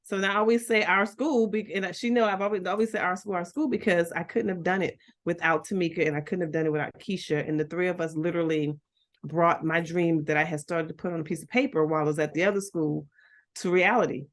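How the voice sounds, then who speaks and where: Low-pitched middle-aged woman from liberia, chatty guest telling her story, lots of laughing and restarting. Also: steady tone, quick pace. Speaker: guest, school founder. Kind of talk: casual chat.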